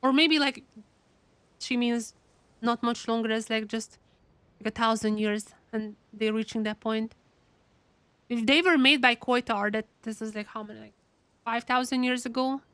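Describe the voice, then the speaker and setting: A woman giving excitable, casual live reactions as she streams a game, often repeating her words. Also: a little high, moderate pace, long pauses, clean tone, dry recording.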